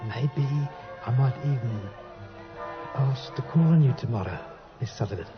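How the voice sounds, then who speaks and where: An actor as a coy gentleman suitor with a soft, weak throat. He woos haltingly in old radio drama style. Dance music plays clearly behind him on a dull, lo-fi recording. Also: mid-range voice, expressive, natural voice. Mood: coy wooing. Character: soft-spoken, hesitant, sly gentleman suitor courting at a dance.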